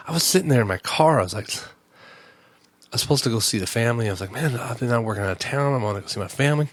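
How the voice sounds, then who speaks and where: A man recounts a frustrating day in an exasperated, animated rant, acting out each exchange as he goes. His voice sits at a middle pitch, and the recording is dry and close, with no room sound. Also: fast talker, no echo. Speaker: podcast host. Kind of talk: storytelling rant.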